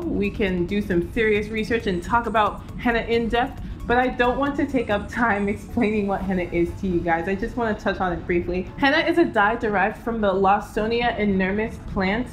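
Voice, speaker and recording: A bright, fairly high-voiced young Nigerian woman talks straight to camera in a cheerful home vlog. She rattles through tips with playful slang and quick asides. Soft music plays faintly behind her. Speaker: natural hair vlogger. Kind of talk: chatty hair-care vlog. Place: indoor home studio.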